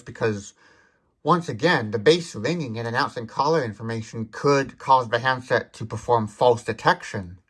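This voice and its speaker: A mid-pitched voice of a product demonstrator talking through a device without a script. The delivery is explanatory and thorough, moving from one detail to the next. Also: pushed and bright. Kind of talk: unscripted tech demonstration.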